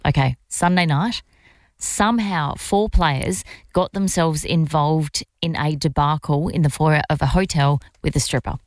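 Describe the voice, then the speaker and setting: A woman co-hosting a radio show, chatting casually with quick reactions. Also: low-pitched, no room sound, studio quality. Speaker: radio co-host.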